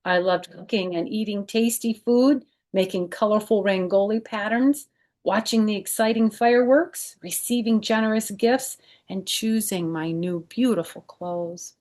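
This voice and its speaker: A woman's voice: mid-range voice, measured pace, darkish tone. A woman hosting a children's storytime, reading a picture book aloud expressively and adding chatty, informal explanations.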